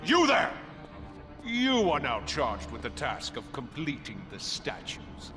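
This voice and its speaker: An actor playing a cruel, imperious temple master in a video game, pompous and contemptuous, speaking of himself as we. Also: male voice, fairly high pitch, huge pitch swings, slow, in a large hall, heavily acted. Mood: scornful, angry. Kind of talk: theatrical video game villain acting. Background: music.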